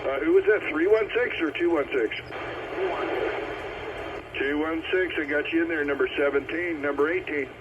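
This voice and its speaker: Man, slightly high voice, CB radio roll call host, procedural, repetitive, thin phone-like sound, static. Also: moderate pace, long pauses. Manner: repetitive, procedural calling out of numbers. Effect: CB radio transmission. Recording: tinny, very noisy CB radio. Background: radio static and noise.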